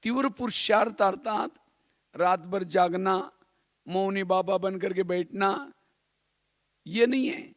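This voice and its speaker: A man's voice: sits high, slow, long pauses, no room sound, clean studio sound. A man from India giving a spiritual class in a didactic way, repeating phrases and posing rhetorical questions. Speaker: spiritual teacher.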